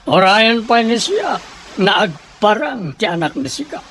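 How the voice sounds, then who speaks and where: Man acting a high-voiced, scheming rich boss. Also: big pitch swings, a little slow, fairly bright tone, a little hiss or hum, full character voice. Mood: scornful, demanding. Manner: broken, choppy phrasing, refers to self in third person. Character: domineering, scheming rich Señor with broken speech. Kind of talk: Ilocano audio drama acting.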